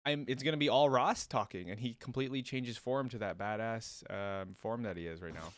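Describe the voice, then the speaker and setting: A man's voice: medium pitch, fairly quick, dry recording, studio quality. A man giving casual, chatty reaction commentary as an enthusiastic fan, in laid-back slangy wording. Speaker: host of a reaction video. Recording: music playing behind the voice. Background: game voice clips and music.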